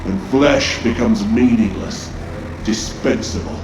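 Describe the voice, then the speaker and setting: Slow, echoing possessed horror villain. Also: male voice, on the low side. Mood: menacing, grandiose. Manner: slow, taunting, grandiose. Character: menacing possessed man, merged with alien horror, taunting. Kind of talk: sci-fi horror audio drama acting. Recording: roomy echo over music.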